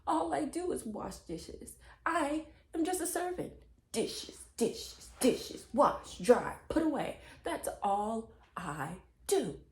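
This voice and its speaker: A woman's voice: high voice, big pitch swings, slow, darkish tone, pitched above the performer's own voice, exaggerated voice. An aggrieved eight-year-old runaway from a children's storybook, acted out by a woman reader. She complains dramatically in a raised, whiny voice, full of self-pity. The sound is echoey and roomy. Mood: aggrieved, whining.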